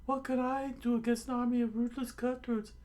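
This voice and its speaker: A woman's voice: on the high side, flat delivery, fairly quick, mellow, pitched above the performer's own voice, close to an everyday voice. Woman as a squealing, cowardly young man. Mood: panicked whining. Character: cowardly, whiny, boastful young man in pirate adventure.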